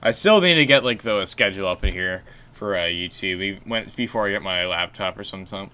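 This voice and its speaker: A young man with a deep voice chatting on a gaming stream, low-key and rambling with fillers and restarts. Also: expressive, quick pace, sharp tone, clean tone. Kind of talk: casual gaming stream chat.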